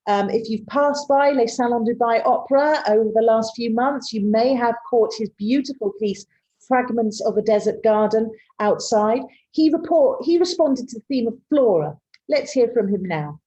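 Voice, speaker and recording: A woman's voice: fairly high pitch, normal speed, warm tone, lo-fi sound. A woman hosting an online design talk on a video call, linking segments with um and uh. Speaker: host of the webinar.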